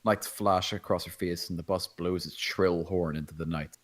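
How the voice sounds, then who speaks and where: A man's voice: deep voice, fairly even intonation, normal speed. A man reading stage directions as narrator at a screenplay table read.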